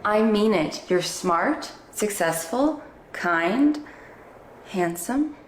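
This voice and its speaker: A woman's voice: mid-range voice, lively intonation, extremely slow, reverberant, a little hiss or hum. A woman playing a sweet, soothing female hairstylist. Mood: sympathetic. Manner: soothing, earnest. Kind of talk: comedy skit acting.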